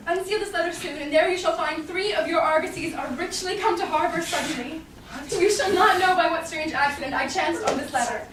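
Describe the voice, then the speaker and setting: A woman's voice: extremely high pitch, fairly quick, a bit bright. A young woman playing a witty, self-possessed noble lady in a Shakespeare staged reading, projecting from a theatre stage. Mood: poised, knowing. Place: small theatre stage with audience. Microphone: far camera in the audience. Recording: roomy, distant, noisy theatre recording.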